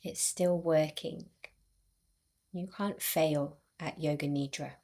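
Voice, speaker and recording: A woman guiding a yoga nidra relaxation in a soothing, unhurried way, with long pauses between short phrases. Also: sits low, fairly even intonation, mellow. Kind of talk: guided meditation.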